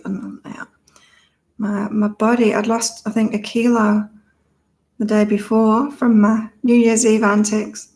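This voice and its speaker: A woman's voice: mid-range voice, gravelly, a little mellow, poor audio. A middle-aged woman from the UK hosting a casual live psychic chat, rambling and repeating herself as she reads out viewer comments. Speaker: psychic livestream host.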